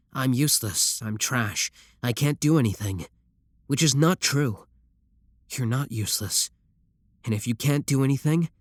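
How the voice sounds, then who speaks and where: A man playing a devoted, earnest kidnapper sibling in an ASMR roleplay, with a deadpan, matter-of-fact delivery. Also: mid-range voice, no echo, studio quality, natural voice. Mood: earnest, reassuring. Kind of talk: scripted ASMR roleplay acting.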